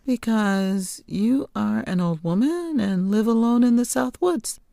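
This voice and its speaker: A woman's voice: a little high, lively intonation, a little slow, creaky, dark tone, dry recording, clean studio sound, voice pushed up. A woman voicing a blunt, earnest nine-year-old girl in a storybook, with an artless way of talking. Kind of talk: storybook character reading.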